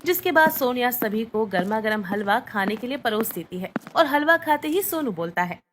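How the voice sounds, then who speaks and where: A woman's voice: fairly high pitch, expressive, normal speed, fairly bright tone, a little hiss or hum. A woman reading scripted bedtime story narration.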